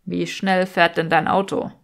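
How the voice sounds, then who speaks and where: A woman's voice: on the low side, level tone, unhurried, creaky. A woman voicing a young male wizard, cocky, teasing and protective, speaking tersely in an audiobook. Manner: terse, self-assured.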